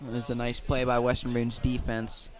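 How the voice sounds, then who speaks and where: Even-toned man calling football play-by-play. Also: mid-range voice, moderate pace, poor audio. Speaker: play-by-play commentator. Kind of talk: live radio football commentary.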